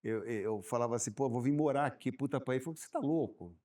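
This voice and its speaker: Dark-voiced man telling stories. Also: mid-range voice, quick pace. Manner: animated storyteller, repeats words while thinking. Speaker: guest business owner. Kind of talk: casual podcast chat.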